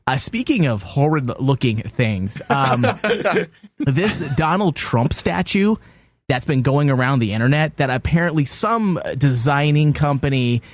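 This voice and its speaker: A man's voice: medium pitch, sing-song, unhurried, no room sound. A young man hosting a casual comedy chat show, sick and nasal, rambling and joking. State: sick, stuffy nose. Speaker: podcast host.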